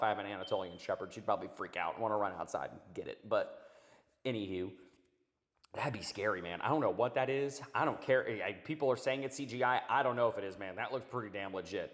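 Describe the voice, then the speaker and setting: A hoarse man with a raspy, lowish voice, still rough from being sick. He rambles along in a laid-back way, tossing in "dude" and "man." The recording sounds hollow and tunnel-like, with a long trailing echo. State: hoarse after illness. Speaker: channel host. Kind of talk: casual commentary on clips.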